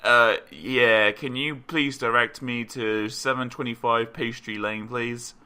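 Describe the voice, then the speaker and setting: A man's voice: medium pitch, fairly even intonation, slow, pushed and bright, smooth. A man voicing a grumpy, sarcastic male cartoon character who grumbles dryly and is easily exasperated. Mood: dry, confused.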